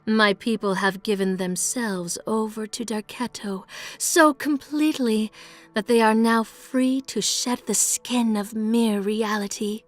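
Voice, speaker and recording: Actress as haughty fantasy-game priestess, very slow delivery, sensual, incantatory, grandiose, music behind. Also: female voice, a little high, lightly acted. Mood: solemn storytelling. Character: exalted, seductive, haughty high priestess of a death-and-pleasure goddess. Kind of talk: theatrical fantasy video game monologue.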